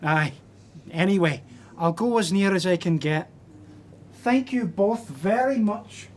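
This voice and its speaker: A woman's voice: mid-range voice, takes it slowly, a little hiss or hum, close to an everyday voice. A woman playing a polite, earnest stranger in a radio drama, speaking in a courteous, dry way. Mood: polite, eager.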